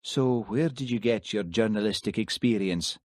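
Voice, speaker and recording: A shrewd Highland constable, dry and plain-spoken, low in pitch, as a male narrator voices him. The sound is close and dry. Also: moderate pace, no echo, lightly acted.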